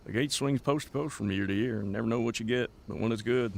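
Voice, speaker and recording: An actor playing a proud, plainspoken cowboy rancher of forty-six in a 1930s-set radio drama, folksy and stoic. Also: male voice, medium pitch, fast talker, gravelly, a little hiss or hum, natural voice. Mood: reminiscing. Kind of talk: period radio drama dialogue.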